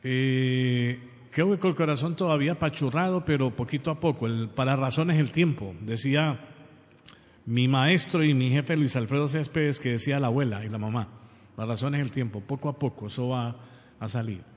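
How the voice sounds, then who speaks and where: A man's voice: mid-range voice, moderate pace, a little mellow. A man from Pereira, Colombia, with a Colombian accent, closing his radio show with heartfelt thanks and blessings. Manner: earnest, heartfelt, religious blessings. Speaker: radio host. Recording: muffled radio audio with long reverb and music bed.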